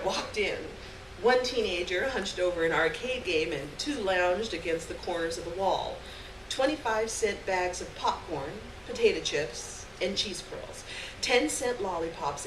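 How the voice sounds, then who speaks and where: A woman giving an expressive live reading of a short story on stage. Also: mid-range voice. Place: indoor stage reading before a live audience. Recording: echoey, noisy live room, distant mic.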